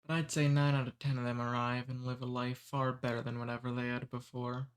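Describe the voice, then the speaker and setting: A courteous demon who abducts humans speaks softly and at an easy pace, as a man acts him out in an ASMR roleplay. He is amused and gently teasing, never threatening, and his voice sits in a plain middle register. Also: mid-range voice, flat delivery, brisk, smooth, natural voice. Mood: amused cordial.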